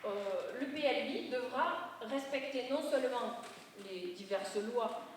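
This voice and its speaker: A woman's voice: on the high side, animated, takes it slowly. A woman giving an explanatory public presentation as an urban planning consultant, going step by step in a didactic way and correcting herself as she goes. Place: large reverberant meeting room, audience present. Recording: very echoey hall, distant mic, faint noise.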